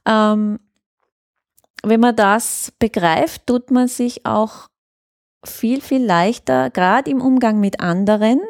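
A woman's voice: fairly high pitch, slow pace, a little mellow, no echo, studio quality. A woman explains things thoughtfully in a conversational interview, often searching for words. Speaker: interview guest, youth worker.

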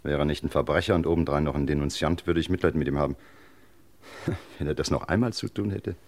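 An actor playing a dry, emphatic police commissioner in an old crime radio play. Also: male voice, rumbling low, steady tone, fast talker, a little mellow. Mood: dry, musing. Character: stern but chatty male police commissioner. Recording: faintly noisy old radio play recording.

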